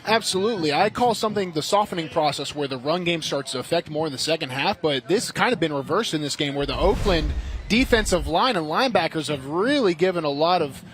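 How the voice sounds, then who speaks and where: Guy doing football color commentary, kinda high voice, chatty and explaining stuff. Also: animated, fast talker, a bit bright. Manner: conversational, explanatory. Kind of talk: live football analysis.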